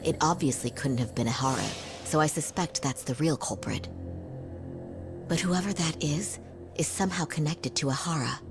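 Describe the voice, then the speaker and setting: Very low-voiced, composed attorney woman. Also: moderate pace. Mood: analytical. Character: serious, composed female defense attorney. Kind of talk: video game cutscene dub dialogue. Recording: game music under the voice. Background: music.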